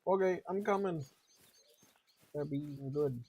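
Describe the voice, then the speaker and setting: Mellow-voiced man streaming a game. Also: a little high, normal speed, breathy edge, lo-fi sound. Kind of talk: casual live gameplay commentary.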